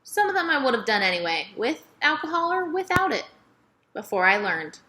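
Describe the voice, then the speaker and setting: A woman's voice: high voice, very animated, moderate pace, pitched above the performer's own voice, full character voice. A woman voicing a frank, wise, kindly old Southern woman with folksy dialect in a children's storybook. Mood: frank, regretful. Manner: folksy dialect, gently admonishing. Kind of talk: children's novel read-aloud.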